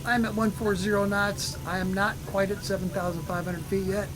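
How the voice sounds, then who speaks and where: High-voiced man joking on sim comms. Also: flat delivery, fairly quick, noisy background. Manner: joking, swears freely. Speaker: flight sim pilot. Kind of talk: casual group chat over voice comms.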